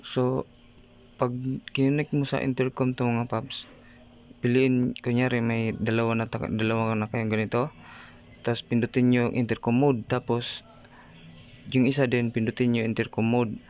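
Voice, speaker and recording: A man's voice: medium pitch, little pitch movement, normal speed, long pauses, darkish tone. A Filipino man giving a casual hands-on product tutorial, talking informally to viewers like buddies. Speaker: vlogger presenting a product tutorial.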